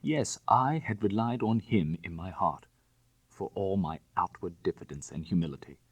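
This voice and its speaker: A man's voice: low-pitched, normal speed, slightly rough, dry recording, highs cut off. A man narrating literary fiction in the first person, in a dramatic storytelling style. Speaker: audiobook narrator.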